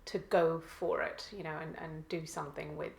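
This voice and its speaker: Guest: woman from Malawi, low gravelly voice, halting delivery, echoey room. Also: level tone. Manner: hesitant, many pauses and restarts. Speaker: guest, cookbook author. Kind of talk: conversational interview answers.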